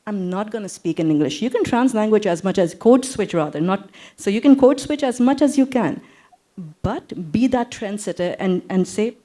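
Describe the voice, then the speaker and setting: A woman academic argues on a panel. Her voice is mellow and mid-pitched, and her delivery is emphatic, with repeated phrases driving each point. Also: expressive, moderate pace. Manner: emphatic, repeats phrases, mixes English and Urdu. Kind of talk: impassioned panel discussion.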